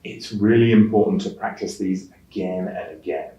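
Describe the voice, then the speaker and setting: Low-pitched male teacher in a roomy space. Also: normal speed, dark tone, muffled recording. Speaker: English test-prep teacher. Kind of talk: instructional lesson narration with read-aloud examples. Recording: roomy echo, distant microphone.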